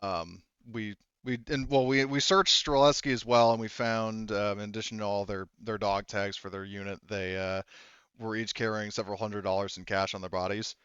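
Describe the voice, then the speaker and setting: A man runs a tabletop game with a smooth, clean voice. He narrates casually, halting and rambling as he improvises. The sound is dry and a little lo-fi. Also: on the low side, steady tone, fairly bright tone, no echo. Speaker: game master.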